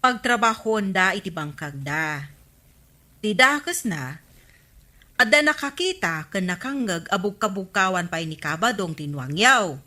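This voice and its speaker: A woman's voice: mid-range voice, lively intonation, measured pace, pushed and bright. A woman playing a chatty, gossipy villager in a Filipino radio comedy drama, with an Ilocano accent.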